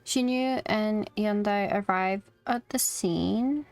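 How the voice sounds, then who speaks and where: A woman's voice: medium pitch, takes it slowly, a little mellow, faint music behind. A woman livestreaming a video game, casually commenting and reading dialogue aloud. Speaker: game streamer.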